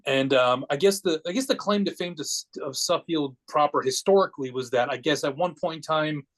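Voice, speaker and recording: A man's voice: fairly high pitch, fairly quick. A man of forty from Connecticut with an American accent, telling personal stories casually as a podcast guest in a rambling, matter-of-fact way. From Connecticut, United States.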